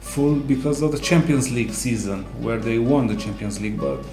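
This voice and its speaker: A man co-hosting a casual sports discussion, speaking haltingly with non-native English phrasing. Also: medium pitch. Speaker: co-host. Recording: roomy echo, music underneath.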